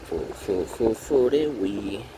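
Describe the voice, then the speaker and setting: Low voiced guy repeating english lines over and over, lots of noise behind. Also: lively intonation, slow. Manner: repeats English phrases, then translates them to Spanish. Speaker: language learner drawing while practicing. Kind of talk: casual practice while painting. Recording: noisy home recording.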